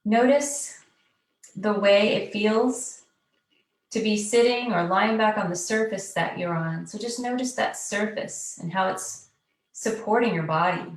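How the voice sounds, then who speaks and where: A woman leading a live guided meditation, giving soothing, unhurried, gently coaxing instructions. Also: mid-range voice. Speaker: guided meditation teacher, host. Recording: roomy echo, distant mic, loud music behind. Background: loud meditation music.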